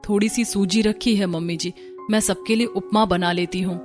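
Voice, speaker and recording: A woman's voice: mid-range voice, steady tone, moderate pace, darkish tone, soft background music, close to an everyday voice. A woman playing a patient, polite young daughter-in-law in a cartoon family drama. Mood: polite, caring. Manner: earnest, polite.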